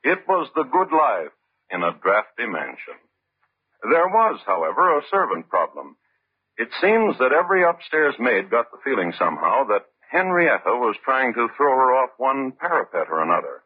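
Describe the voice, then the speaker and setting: A man narrating an old-time radio programme as host, with droll deadpan delivery and measured dramatic pauses. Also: medium pitch, husky, phone-quality sound. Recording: thin, band-limited old radio recording.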